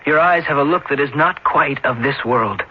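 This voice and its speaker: An actor playing a loyal, concerned young student who speaks earnestly and carefully in a radio drama. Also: male voice, on the high side, normal speed, breathy edge. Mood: frank, worried. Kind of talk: 1970s radio drama acting. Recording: muffled vintage radio broadcast recording.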